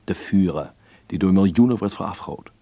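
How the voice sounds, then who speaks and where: A man's voice: deep voice, moderate pace, creaky, mellow, husky, full character voice. A man playing a wry, uneasy observer reading his letter aloud in an intimate, reflective radio drama monologue. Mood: fascinated, uneasy. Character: male letter writer in 1933 Berlin, wry, uneasy observer.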